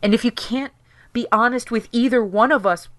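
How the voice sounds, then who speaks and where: An actress playing a hurt, frustrated, uncompromising wife in an audio drama, speaking with reproachful emphasis. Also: female voice, a little high, moderate pace, no echo, close to an everyday voice. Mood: hurt, weary.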